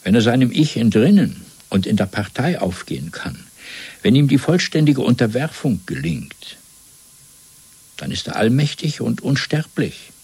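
A man playing a cold, fanatical party interrogator in a German radio drama, lecturing deliberately and domineeringly with a husky, breathy voice. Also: low voice, exaggerated voice. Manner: didactic, deliberate, swelling from hushed to emphatic.

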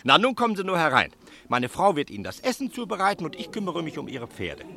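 A bright, forceful innkeeper, busy and obliging. His courteous talk swings widely in pitch, in 1960s radio play style. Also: huge pitch swings, fast, vocal fry, full character voice. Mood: apologetic, obliging. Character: obliging, busy male innkeeper in old Rhineland.

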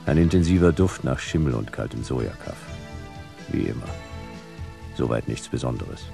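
A hardboiled male private eye with an extremely deep, creaky voice. He narrates tersely and drily, world-weary, in noir radio drama style over music. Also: moderate pace, full character voice. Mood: dry, weary. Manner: terse, dry, deadpan.

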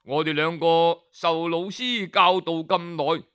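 A man's voice: a little high, a little slow, fairly bright tone, clear, resonant tone. A man voicing two ambitious young male disciples pleading earnestly with their teacher. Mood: eager, pleading.

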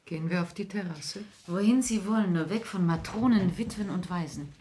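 A woman's voice: lowish voice, fairly quick, warm tone, a little hiss or hum, lightly acted. An actress playing a witty, bored society lady in a radio play, languid and disdainful. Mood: bored, flippant. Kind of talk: 1960s German radio play acting.

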